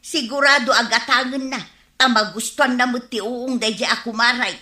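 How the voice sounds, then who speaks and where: Bright-voiced lively village housewife, woman acting. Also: fairly high pitch, moderate pace. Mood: chatty. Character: lively rural housewife planning the cooking. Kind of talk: Ilocano radio comedy drama acting. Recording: roomy, echoing radio drama recording.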